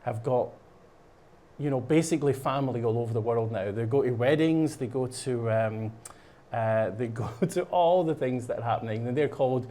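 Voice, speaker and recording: A man's voice: medium pitch, moderate pace, mellow. A man from Scotland with a Scottish accent, a guest chatting casually on a local show and explaining a program with lots of fillers and restarts. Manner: rambling, many fillers and restarts. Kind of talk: casual chat. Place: studio while painting.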